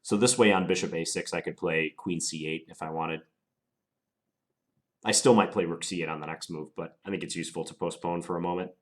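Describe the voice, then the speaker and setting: A man with a very low voice, stuffed up from a cold, talks himself through his chess moves. He sounds deliberate and musing, weighing each option out loud. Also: fairly even intonation. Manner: deliberate, musing, unhurried analysis. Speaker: chess player commentating his own game. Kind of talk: thinking aloud while playing.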